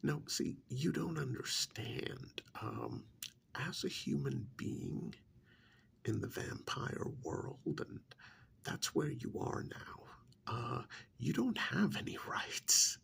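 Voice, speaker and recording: Man playing a slow, creaky, soft-spoken vampire emissary. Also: medium pitch, huge pitch swings, slight background noise, exaggerated voice. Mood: condescending, disappointed. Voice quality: breathy, half-whispered, creaky. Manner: slow, deliberate, condescending, hesitant pauses. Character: calm, menacing male vampire emissary of a queen. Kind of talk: soft-spoken ASMR role-play.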